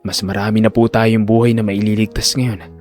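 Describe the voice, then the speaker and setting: A man playing a calm, measured hospital director and devoted son in an audio drama, solemn and firm. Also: on the low side, soft background music, voice pushed down, close to an everyday voice. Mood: tender, solemn. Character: grown male doctor and hospital director, calm, grieving son.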